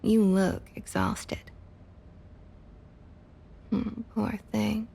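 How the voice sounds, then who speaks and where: A woman playing a domineering, condescending vampire councilwoman with a Southern US accent, in a breathy, intimate ASMR roleplay with a drawling delivery. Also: medium pitch, level tone, extremely slow, full character voice. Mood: coolly condescending. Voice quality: husky, breathy, often half-whispered. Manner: drawling, condescending, quietly threatening. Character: domineering female vampire council member, centuries-old, coolly possessive Southerner. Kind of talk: intimate ASMR roleplay, scripted character acting.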